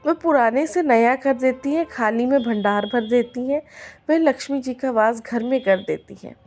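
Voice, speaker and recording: A hoarse woman voicing a firm, pious middle-aged mother-in-law in a folk tale. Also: a little high, normal speed, a little mellow.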